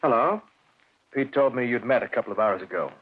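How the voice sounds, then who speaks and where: An actor playing a sly, probing police interrogator in an old radio drama, with an insinuating, mock-polite manner. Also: male voice, mid-range voice, lively intonation, fairly quick, breathy edge. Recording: muffled old radio recording.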